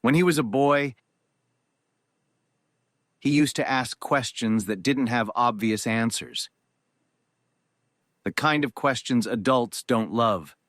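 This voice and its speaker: Man acting a slow, halting, grieving eulogist. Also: mid-range voice, fairly bright tone, no echo, clean studio sound. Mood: solemn, reflective. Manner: measured, halting, pausing between phrases. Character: grieving, reserved man of a powerful family giving a eulogy. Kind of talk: solemn eulogy in audio drama.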